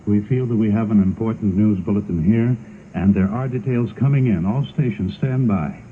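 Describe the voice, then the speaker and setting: A male 1960s network newsman speaking off the cuff, urgent and halting, on an old recording. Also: fairly low pitch. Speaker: network news broadcaster directing coverage. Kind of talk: unscripted 1960s breaking news. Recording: phone-like, faintly noisy 1960s radio recording.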